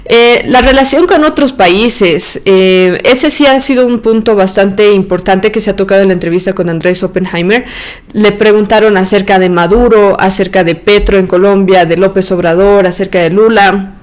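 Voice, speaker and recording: A woman with Argentine and Bolivian roots hosts her own podcast, talking through her views in a loose, chatty way full of fillers and restarts. Her voice is smooth and clear, sitting at an ordinary pitch. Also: moderate pace. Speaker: podcast host. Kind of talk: solo podcast commentary.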